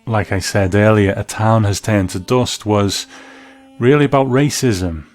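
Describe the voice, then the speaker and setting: A man's voice: on the low side, a little slow, darkish tone. A man hosting a review show, giving reflective commentary. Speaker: host. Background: faint music.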